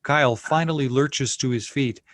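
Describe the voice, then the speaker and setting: A man's voice: mid-range voice, normal speed, a bit bright, dry recording, studio quality. A man reading scene headings and stage directions as narrator at a screenplay table read.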